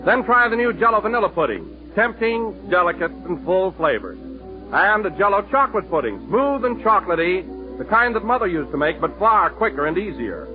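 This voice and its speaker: A man reads a radio ad as announcer, smooth and upbeat. His voice sits high. It comes through a tinny 1930s broadcast with music clearly behind. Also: very animated, normal speed. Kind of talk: radio ad read.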